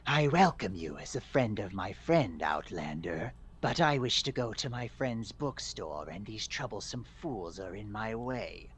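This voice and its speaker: A man's voice: lowish voice, very animated, a little slow, hardly any pauses, slight background noise. A man voicing a wary, formal male lizard-folk traveler asking a stranger for help. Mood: earnest worried.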